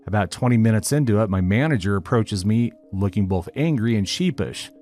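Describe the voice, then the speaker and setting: A man narrating a written online story in a steady, even reading style. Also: on the low side, fairly quick. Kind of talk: scripted narration of a reddit post. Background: faint background music.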